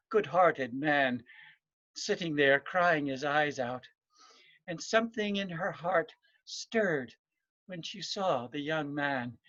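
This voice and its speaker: A male storyteller narrates a folktale at a slow, deliberate pace. He leaves dramatic pauses between short phrases. The sound is slightly dull, like an online call. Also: fairly high pitch, fairly bright tone.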